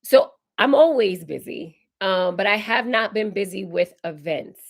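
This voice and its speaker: A woman in her forties, hoarse and raspy while recovering from illness, hosting a casual live Q&A and answering viewer comments. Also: mid-range voice, expressive, unhurried. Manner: chatty, reads viewer comments aloud and answers warmly. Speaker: decor channel host. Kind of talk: live stream Q&A chat.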